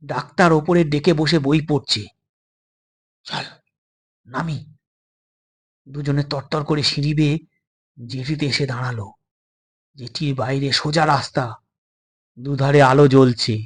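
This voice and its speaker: A man's voice: on the high side, fairly even intonation, moderate pace, long pauses, husky. A man reading a scripted suspense story as its narrator.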